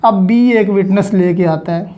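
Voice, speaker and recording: A Pakistani woman delivers an explanatory lecture as a law teacher. Her voice is mellow and mid-pitched, and she takes her time. She is didactic, restating points and dropping in English legal terms. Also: measured pace. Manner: didactic, repeats points for emphasis.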